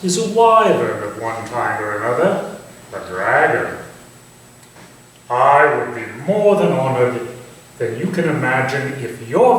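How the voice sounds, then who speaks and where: A man playing an urbane, teasing, proud Victorian English nobleman in a staged reading, articulate and dryly probing in a theatrical way. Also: medium pitch. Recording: very echoey room, distant mic, hissy noise.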